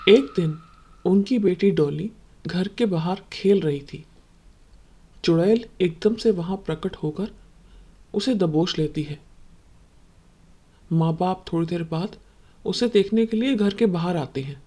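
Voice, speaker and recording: A woman's voice: on the low side, slow pace, pauses often, warm tone, slightly rough. A woman working as a storyteller, delivering scripted dramatic narration.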